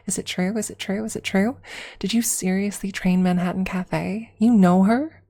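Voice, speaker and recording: A woman voicing an excitable little horse-girl, breathless and eager, in a read-aloud story. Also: mid-range voice, normal speed, pitched above the performer's own voice, natural voice. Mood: eager, thrilled. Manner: breathless, eager, repeating herself. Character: excitable little horse-girl niece, a tiny ball of energy.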